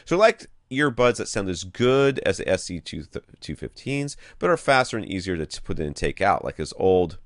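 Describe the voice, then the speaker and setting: A man's voice: low-pitched, very animated, brisk, hardly any pauses, no room sound, clean studio sound. A man hosting a casual podcast, reading out questions and thinking aloud with wry, blunt humor. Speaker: podcast host.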